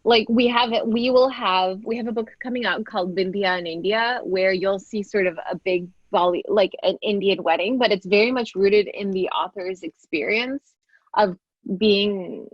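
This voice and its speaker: A woman's voice: medium pitch, moderate pace, no room sound. A woman whose first language is Telugu speaks her mind as a panelist, frank and emphatic. Her voice sits mid-range and arrives dry. It comes through dull, lo-fi call audio.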